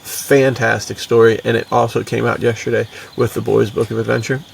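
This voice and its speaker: A man with a strongly creaky, gravelly voice at an ordinary pitch. He talks like an enthusiastic podcast co-host, loose and slangy. He sounds a little away from the microphone, with faint noise behind him. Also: little pitch movement, a little fast, recorded from a distance. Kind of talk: casual podcast chat.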